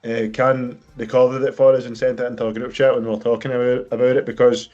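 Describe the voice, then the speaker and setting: A man co-hosting a football podcast, speaking English natively, in casual, halting chat that repeats words. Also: mid-range voice, level tone, darkish tone, muffled recording. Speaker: podcast co-host.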